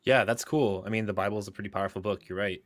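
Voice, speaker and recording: A man with a lowish voice, recorded close and dry, co-hosts a podcast. His talk is casual and rambling, with a wry, informal touch. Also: steady tone, rapid-fire, no room sound. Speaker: podcast co-host. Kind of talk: casual conversational interviewing.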